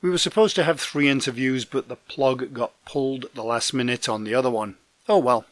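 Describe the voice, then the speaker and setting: A man hosting a podcast news roundup, his voice deepened by a head cold, reading from a script with dry, self-deprecating asides. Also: brisk, dry recording. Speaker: podcast host and trade magazine editor. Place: home in Scotland.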